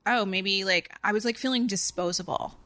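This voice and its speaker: A woman's voice: mid-range voice, normal speed, sharp tone, no room sound. A young woman from New Jersey talking openly as a podcast guest, with lots of likes and confiding asides. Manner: open, filler-heavy, confiding. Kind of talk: candid casual chat.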